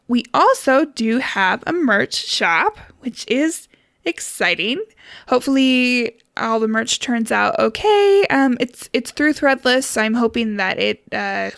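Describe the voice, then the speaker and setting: A woman's voice: fairly high pitch, gravelly, a bit bright, no echo, studio quality. A woman co-hosting a podcast in casual, informal conversation with a giggly manner. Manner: informal, giggly, mildly sweary. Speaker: podcast co-host.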